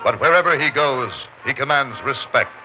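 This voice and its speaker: A man's voice: medium pitch, normal speed. Emphatic old-time radio announcer, a man, muffled. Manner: emphatic, declamatory. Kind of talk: dramatic scripted 1950s radio announcing. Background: loud music.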